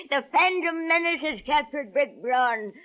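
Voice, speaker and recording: A wizened old woman behind a hotel desk, theatrical and greedy. She draws out her florid, formal phrases at a slow pace. It has the muffled, lo-fi sound of an old radio drama. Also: sits high, expressive. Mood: gleeful, ecstatic. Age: older. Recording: dull, lo-fi old radio recording.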